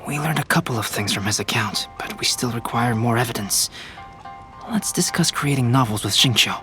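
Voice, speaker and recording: A composed young male scholar talks at a medium pitch. He is reserved and analytical, laying out his thoughts formally in a game story scene. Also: lively intonation, moderate pace, pushed and bright, soft background music. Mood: calm, reflective. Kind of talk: video game character voice acting.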